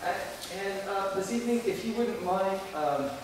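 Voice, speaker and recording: A man giving informal, halting announcements at a school event, recorded from far off in a noisy, echoing hall. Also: high voice, animated. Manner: hesitant, halting. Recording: echoey hall, distant, noisy.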